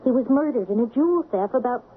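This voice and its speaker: A woman's voice: on the high side, lively intonation, quick pace, lightly acted. An actress playing an earnest, determined teenage girl, a sheriff's daughter turned sleuth, in an old-time radio drama. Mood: pleading, insistent. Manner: earnest, insistent. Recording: tinny, noisy old radio broadcast.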